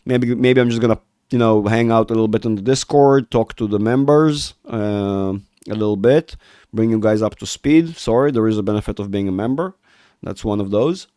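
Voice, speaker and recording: Guy with a cold rambling on a market livestream, smooth clear voice, dry close sound. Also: lowish voice, moderate pace, dark tone, no room sound. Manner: rambling, self-interrupting, reads chat aloud. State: has a cold, voice rough. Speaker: market analysis livestream host. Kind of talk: casual livestream chat with viewers.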